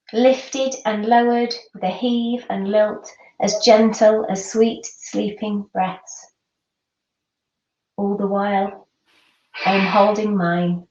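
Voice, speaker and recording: A woman reading her own poem with deliberate pauses and expressive phrasing. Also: mid-range voice. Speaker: poet. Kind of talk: poetry reading. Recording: echoey, distant, dull microphone.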